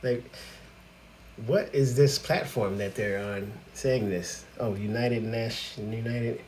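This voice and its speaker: A man's voice: mid-range voice, slow, a little mellow, slight background noise, rough recording. A man, hosting a casual reaction video, chatty and laughing, often exclaiming in disbelief. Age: 40s.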